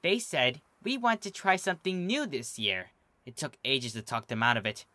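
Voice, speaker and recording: A fan reader voicing a young female unicorn filly who is flustered and defensive, speaking high and stammering. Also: very animated, moderate pace, bright, forceful tone, dry recording.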